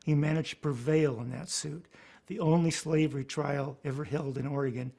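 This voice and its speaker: A man's voice: a little high, steady tone, moderate pace, gravelly, dark tone. A man offering considered commentary on history in a documentary interview.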